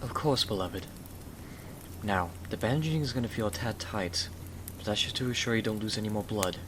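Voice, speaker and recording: Gentle gentleman rescuer played by a male actor, lowish voice, soothing and protective, for ASMR roleplay over noisy rain. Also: brisk, lightly acted. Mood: gentle, courteous. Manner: soothing, courteous, old-fashioned wording. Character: Jonathan, gallant protective husband, gentle rescuer. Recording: clearly noisy, rain behind the voice.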